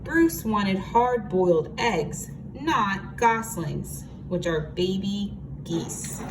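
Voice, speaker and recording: A woman reads a picture book aloud at an unhurried pace, pausing to explain things and put questions to young listeners like a teacher. The recording is noisy, with the microphone some way off. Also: medium pitch, recorded from a distance. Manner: expressive, explains words and asks listeners questions. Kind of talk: read-aloud storytelling with asides to children.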